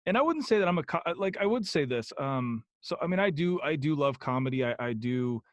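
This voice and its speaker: A man's voice: on the high side, animated, fairly quick, dry recording. A young man chatting as a podcast guest, rambling with many ums and restarts. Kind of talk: casual interview chat.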